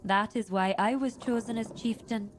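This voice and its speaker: Measured high-voiced chieftain played by an actress. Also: female voice, slight background noise, close to an everyday voice. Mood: wry, guarded. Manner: deliberate, formal, weighty phrasing. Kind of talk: dramatic fantasy game dialogue. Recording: music behind the voice.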